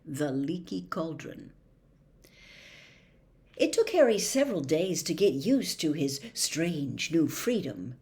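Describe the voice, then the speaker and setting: A woman reading a story aloud as expressive audiobook narration, with measured, dramatic phrasing. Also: mid-range voice. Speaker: storybook reader.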